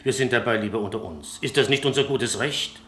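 A stern, formal innkeeper played by a man in a radio drama, with a mid-pitched voice. He speaks with curt emphasis in an echoey room with some noise behind him. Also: brisk, fairly bright tone, lightly acted. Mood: stern, warning. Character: surly, stern café innkeeper, fussily polite to regulars. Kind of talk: German radio play acting. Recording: roomy echo, background noise.

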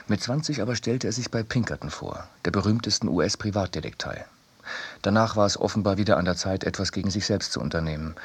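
A man's voice: fairly low pitch, fast talker. A man reading as a sober, laconic chronicler in literary radio narration. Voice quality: husky.

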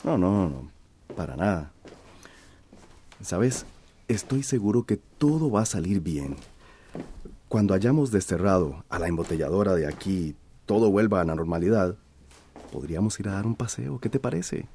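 An actor playing a good-natured, eager helper in a radio drama, flirtatious in manner. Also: male voice, deep voice, expressive, normal speed, long pauses, mellow, a little hiss or hum. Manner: eager, wooing.

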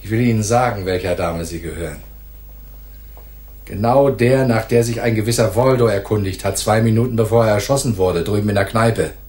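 A hot-tempered bully of a police detective in a noir radio play, played by a male actor. He taunts and sneers in a fairly low voice, aggressive and contemptuous. The room around him echoes. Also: fairly quick, close to an everyday voice. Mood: menacing, contemptuous. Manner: mocking, aggressive, swinging from soft taunts to barking. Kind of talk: noir radio drama dialogue.

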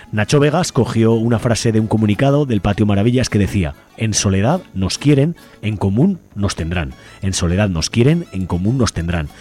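A man's voice: lowish voice, a little mellow. A man with a Spanish accent from Spain, presenting a community radio programme in a partly improvised way. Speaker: radio host. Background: music bed.

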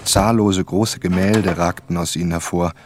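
An actor playing a reflective first-person narrator, telling a suspenseful story in a scripted radio drama. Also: male voice, sits low, steady tone, moderate pace, background noise, lightly acted. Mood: suspenseful, measured.

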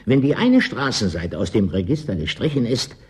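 An actor in a German radio play playing a resigned, aloof property dealer, dry, curt and dismissive. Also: male voice, lowish voice, very animated, normal speed, darkish tone.

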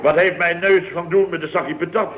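Actor as blustering, mock-servile boss, dull vintage recording. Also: male voice, fairly high pitch, fairly even intonation, brisk, background noise, lightly acted. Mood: exasperated. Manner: theatrical, sarcastically courteous, exclamatory. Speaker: actor in a radio comedy serial. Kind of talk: 1970s Dutch radio comedy acting.

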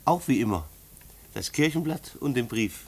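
Kindly mail carrier: husky mid-range voice, hesitant, soothing, reticent, radio drama. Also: male voice, medium pitch, lively intonation, normal speed. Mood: polite. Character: kindly, patient male mail carrier, gently evasive. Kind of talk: German radio play acting.

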